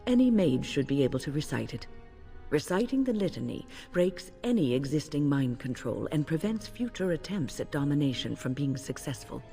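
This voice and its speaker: An actress playing a composed, wise older female mage in a video game, speaking in a measured, earnest, instructive way. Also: deep voice, sing-song, mellow. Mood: calm, matter-of-fact. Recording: long hall reverb, music behind.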